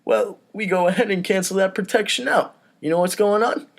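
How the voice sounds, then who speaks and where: A man's voice: sits high, fairly quick, pitched above the performer's own voice. A man playing a cocky mobster enforcer with punchy, clipped patter in a comedy script. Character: mobster enforcer explaining protection racket, cocky, repetitive.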